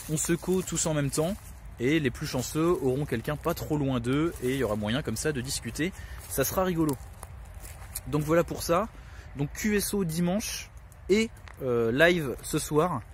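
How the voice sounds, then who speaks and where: A man's voice: fairly high pitch, a little hiss or hum. A man hosting his own channel, giving casual spoken announcements while on the road, hesitant and full of filler sounds. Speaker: survivalist channel host. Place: in a vehicle on the road.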